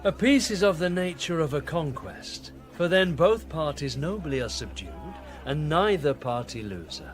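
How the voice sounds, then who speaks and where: Animated, dignified rebel archbishop played by a man. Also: on the high side, natural voice. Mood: grave, eloquent. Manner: formal, rhetorical, measured phrasing. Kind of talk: classical radio drama acting.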